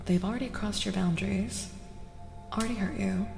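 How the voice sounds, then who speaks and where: A woman speaks softly and slowly, with a creaky edge to her voice that often thins toward a whisper. She addresses live viewers as she reads tarot in an ASMR style. Her voice hangs in a long, echoing reverb with music clearly behind it. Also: medium pitch, steady tone. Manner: soft-spoken, often dropping to a whisper. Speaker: streamer host and tarot reader. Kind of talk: soft-spoken ASMR live tarot reading.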